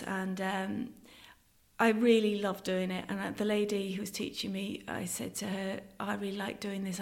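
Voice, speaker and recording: A middle-aged woman from London with an English-sounding accent, chatting casually as an interview guest. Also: medium pitch. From London, then Ireland from age thirteen. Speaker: guest, stained glass artist. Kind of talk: casual conversational interview answers.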